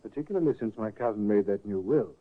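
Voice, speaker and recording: An actor in a 1950s radio drama playing a suspicious cousin and a meek servant, in the old radio acting style. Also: male voice, lowish voice, animated, fast, close to an everyday voice. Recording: tinny old radio broadcast.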